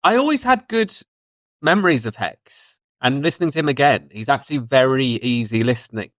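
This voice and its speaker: A man's voice: medium pitch, expressive, a little fast, fairly bright tone, no room sound, clean studio sound. A man co-hosting a podcast in casual review chat, talking enthusiastically and thinking aloud as he goes. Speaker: podcast co-host.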